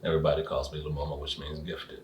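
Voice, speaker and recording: A man telling his own story in an interview, with an emphatic, animated way of speaking. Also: rumbling low, fast talker, a little hiss or hum. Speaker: formerly incarcerated interviewee. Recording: roomy, mic far away.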